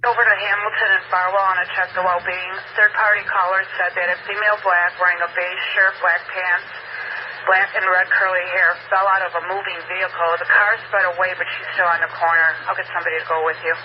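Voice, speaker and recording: Male police dispatcher, high-pitched voice, clipped procedural delivery, muffled scanner radio, faint static. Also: level tone, brisk.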